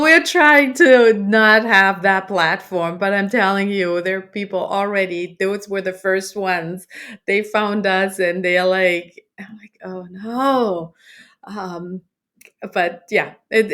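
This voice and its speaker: Halting German-accented woman. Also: mid-range voice, fairly bright tone. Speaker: guest, dating app founder. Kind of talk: conversational interview.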